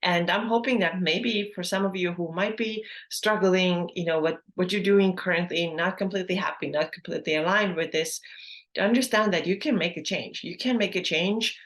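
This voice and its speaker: A woman's voice: lowish voice, normal speed. A woman in her thirties, a native Estonian speaker, hosting a casual solo podcast in English, chatty and full of fillers and self-corrections. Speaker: podcast host.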